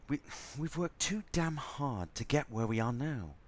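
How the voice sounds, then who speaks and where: A man's voice: mid-range voice, sing-song, unhurried. A man playing a sassy, theatrical, frazzled robot crew member in an audio drama, with a sardonic, stubborn manner. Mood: irritated, firm.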